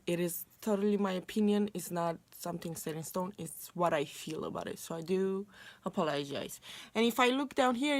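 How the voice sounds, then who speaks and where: A woman's voice: medium pitch, no room sound. A young woman from Romania, a native Romanian speaker, chatting casually to camera about books with many fillers and restarts. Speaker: book vlogger.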